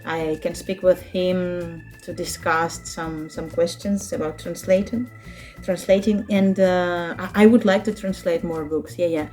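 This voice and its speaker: A woman in her thirties from Ukraine, a native speaker of Russian and Ukrainian, talking in a casual interview with a Ukrainian accent, halting and searching for words, laughing often. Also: on the low side, fairly even intonation, dark tone, faint music behind. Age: 30s. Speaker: interview guest, children's book translator.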